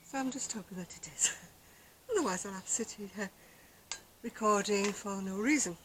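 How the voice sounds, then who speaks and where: An older woman vlogging about her crochet, chatting casually on an outdoor deck in a quiet, soft-spoken, rambling way. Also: mid-range voice, normal speed, background noise. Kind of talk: casual chat to camera. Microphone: external camera microphone.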